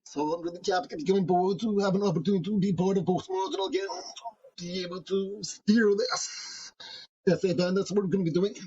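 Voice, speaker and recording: A man's voice: high-pitched, steady tone, a little fast, warm tone, slightly rough. A man in his forties from Edmonton, a deaf theatre artist, talking earnestly as a podcast guest and listing his work at length. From Edmonton, Canada. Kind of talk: interview conversation. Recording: slightly dull lo-fi audio.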